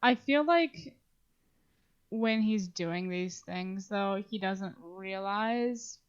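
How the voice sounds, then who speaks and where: A woman's voice: mid-range voice, very slow, clean tone. Casual woman podcaster, slightly dull audio. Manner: informal, swearing. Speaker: podcast co-host. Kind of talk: casual discussion.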